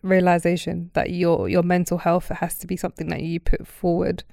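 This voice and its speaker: Woman, interviewer, flat intonation, vocal fry, casual, affirming, supportive. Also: on the low side, moderate pace, no echo, studio quality. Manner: empathetic, affirming.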